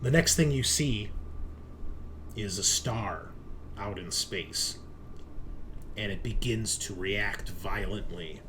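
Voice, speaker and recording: A man improvising narration as game master of a tabletop roleplaying game, in a measured, halting, descriptive way. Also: on the low side, background noise.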